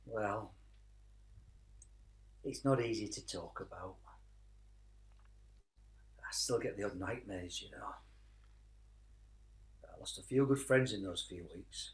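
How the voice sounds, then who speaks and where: An actor playing a weary, tender British father who fought in the trenches, speaking reflectively in a radio play with dramatic pauses. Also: lowish voice, poor audio.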